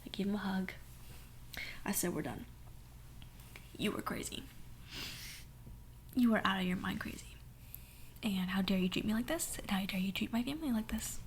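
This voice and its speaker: A young woman with a hoarse voice telling a sassy, dramatic story in a soft whisper. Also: medium pitch, moderate pace, long pauses, slight background noise. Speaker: ASMR storyteller. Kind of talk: whispered ASMR story time.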